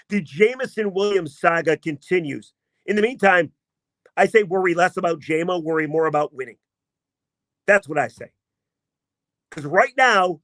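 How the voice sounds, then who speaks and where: A man hosting a sports podcast, talking in a casual, emphatic and self-mocking way, with a voice he himself calls not deep. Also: a bit bright, no room sound, clean studio sound. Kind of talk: casual sports commentary monologue.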